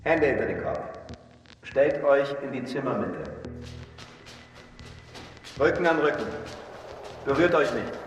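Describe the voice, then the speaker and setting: Cold echoing police-state telescreen voice. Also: male voice, mid-range voice, sing-song, muffled recording. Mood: cold, menacing. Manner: barking, drawn-out commands. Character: commanding male telescreen voice of a totalitarian police state. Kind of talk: German radio drama acting. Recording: echoing hall, distant, music behind.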